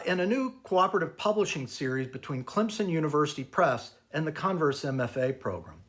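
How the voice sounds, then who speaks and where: Man giving a formal prepared introduction. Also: a little high. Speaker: academic program director and anthology editor. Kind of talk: prepared introduction, read presentation.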